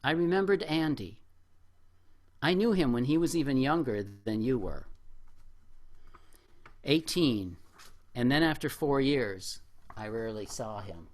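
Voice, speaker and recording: A middle-aged or older man at a poetry open mic, with a medium-pitched voice that rises and falls widely. His delivery is reflective and expressive, that of a poet sharing his own work. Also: measured pace, long pauses. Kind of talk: spoken poetry reading with informal remarks to an audience.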